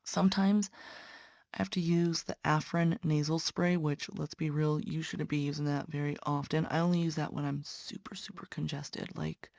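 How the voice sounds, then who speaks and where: A woman's voice: low-pitched, steady tone, moderate pace, gravelly, a little mellow, no echo, studio quality. A young woman, a patient care tech and nursing student, chatting earnestly to viewers while stitching, sounding congested. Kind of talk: casual chat while stitching.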